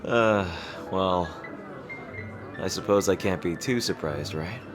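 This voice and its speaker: A man playing a teasing rival king in an intimate dramatic roleplay, halting and smug yet easily flustered. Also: low-pitched, over music. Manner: halting, teasing, with soft chuckles and pauses.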